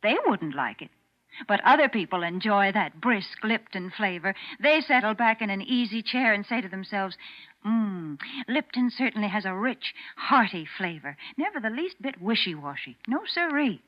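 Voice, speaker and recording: A woman's voice: mid-range voice, animated, moderate pace, close to an everyday voice. A woman plays a folksy, homey radio spokeswoman of the 1940s. Her intonation swings widely and emphatically. The sound is dull, like an old broadcast. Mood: cheerful chiding. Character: chatty, prim, homey woman pitching products. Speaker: commercial spokeswoman. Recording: muffled 1940s radio recording.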